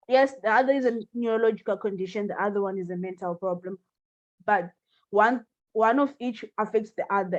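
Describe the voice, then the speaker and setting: A woman from Zimbabwe, a mental health and epilepsy advocate, speaking passionately on a panel call. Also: mid-range voice. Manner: passionate, emphatic. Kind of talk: panel discussion on video call. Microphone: video call. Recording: dull video call audio.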